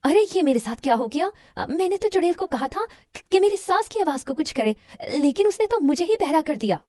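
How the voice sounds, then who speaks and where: A woman's voice: extremely high pitch, very animated, brisk, dark tone, dry recording, clean studio sound, pitched above the performer's own voice. A woman voicing an aggrieved, complaining village daughter-in-law in a children's story. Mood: dismayed.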